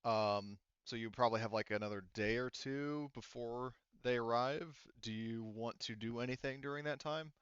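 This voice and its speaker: A man's voice: medium pitch, moderate pace, few pauses, fairly bright tone, clean tone, no echo, dull audio. A man acting as game master in a casual tabletop roleplaying session, rambling and thinking aloud with the odd swear word.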